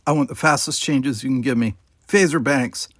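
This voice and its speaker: A man's voice: medium pitch, moderate pace, a bit bright, no room sound, studio quality, natural voice. A man voicing a determined, defiant young cadet commander who barks clipped, urgent orders in an audiobook. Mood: urgent, commanding. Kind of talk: dramatized audiobook dialogue.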